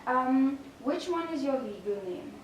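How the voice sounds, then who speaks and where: A young woman with a fairly high voice asks casual interview questions as a student interviewer. She sounds very echoey and a little far from the microphone. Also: expressive, a little slow. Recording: very echoey, distant microphone, faint noise.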